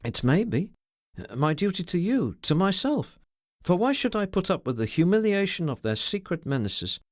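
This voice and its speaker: A brooding, sardonic gentleman with formal, ironic phrasing, his voice pitched up and moving widely, as a man reading aloud acts him out. He speaks in a measured way. The sound is close and dry. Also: sing-song, dry recording. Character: brooding, sardonic, educated middle-aged man.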